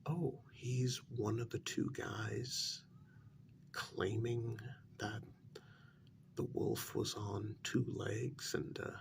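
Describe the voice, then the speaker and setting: A man acts out a humble, polite working man in a soft-spoken ASMR role-play. His voice is lowish and gravelly, often sinking to a near whisper. He speaks haltingly and deferentially, as if to a boss, over faint background noise. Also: fairly even intonation, exaggerated voice. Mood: apologetic, reassuring. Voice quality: soft, breathy, partly whispered.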